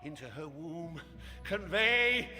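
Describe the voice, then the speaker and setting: A man's voice: on the high side, expressive, takes it slowly, bright, forceful tone, soft background music, heavily acted. An actor playing a proud, aged king in classical Shakespearean radio drama, theatrical and declamatory, swinging from murmur to roar. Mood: cursing, wrathful. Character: proud, aged king raging at his daughter. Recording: reverberant hall-like radio drama sound.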